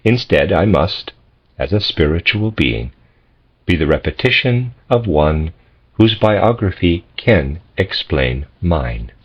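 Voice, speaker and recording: A man reading a philosophical text as scripted narration, in measured, deliberate phrases with pauses. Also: low voice, lively intonation, breathy edge. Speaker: audiobook reader. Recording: dull, lo-fi audio.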